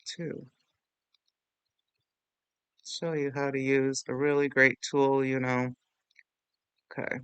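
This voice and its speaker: A man hosting a casual software walkthrough in an informal, rambling, self-deprecating way. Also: mid-range voice, flat delivery, slow, pauses often, clean tone, dry recording. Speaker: tutorial host.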